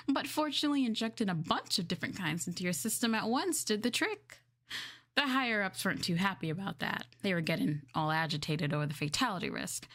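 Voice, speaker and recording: A woman's voice: on the low side, no echo, studio quality. Woman playing an obsessive, possessive lady scientist. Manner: clinical yet possessive, formal. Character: obsessive yandere scientist, pleased with her captive alien subject. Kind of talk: ASMR roleplay script reading.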